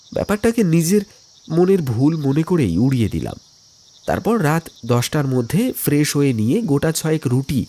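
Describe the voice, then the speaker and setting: A man's voice: medium pitch, dark tone. A man narrating a scripted ghost story in the first person, telling it in a dramatic, suspenseful way. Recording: music bed under the voice.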